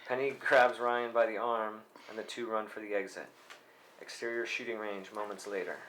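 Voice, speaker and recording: With faint noise behind him, a man with a slightly low voice reads stage directions. His delivery is plain scripted narration with small stumbles, as at a live table read. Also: fairly even intonation, moderate pace, fairly bright tone. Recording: faintly noisy live room recording.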